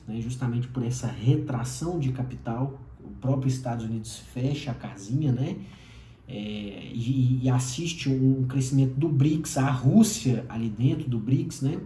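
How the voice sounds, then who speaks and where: A man's voice: mid-range voice, moderate pace, mellow, a little hiss or hum. A man in his thirties with a Brazilian accent, giving a lecturing solo commentary full of tag questions and fillers. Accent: Brazilian Portuguese. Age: 30s. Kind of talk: solo explainer monologue. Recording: roomy echo.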